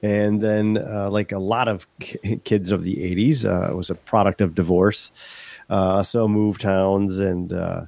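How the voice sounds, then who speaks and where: A man's voice: deep voice, level tone, a little slow, gravelly, a little mellow, dry recording. A middle-aged man from Western New York, stuffed up from a head cold, chatting candidly as a podcast guest with many restarts. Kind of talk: casual interview chat.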